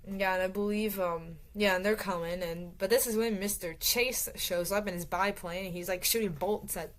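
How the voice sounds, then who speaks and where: A woman's voice: mid-range voice, fairly even intonation, normal speed. Rambling woman reviewing a book. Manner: rambling, many fillers and restarts. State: voice getting tired. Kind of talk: casual book review recap.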